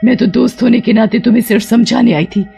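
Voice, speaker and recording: A woman playing a stern, cautious elder witch in an animated folk tale, with a creaky, husky voice. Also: fairly high pitch, fast talker, voice pushed up, exaggerated voice. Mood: indignant.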